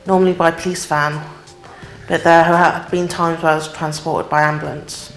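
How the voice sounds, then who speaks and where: A woman describing her experiences in an interview, quiet and subdued. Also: deep voice, flat delivery, moderate pace, echoey room, faint music behind, low-quality recording. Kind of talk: interview testimony.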